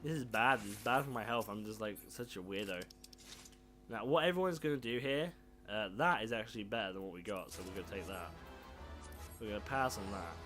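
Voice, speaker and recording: Croaky-voiced man commentating gameplay. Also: fairly low pitch, fairly quick, pushed and bright. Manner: self-deprecating, swears casually. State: croaky, sore throat. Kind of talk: casual let's play commentary. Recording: game music playing behind.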